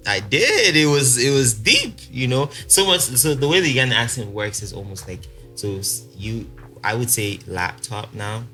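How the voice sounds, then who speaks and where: A man's voice: medium pitch, normal speed, faint music behind. A young man from Congo with a South African accent, a podcast guest telling his life story in a loose, laughing way full of fillers. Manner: animated, laughing, many fillers. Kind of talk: casual storytelling interview.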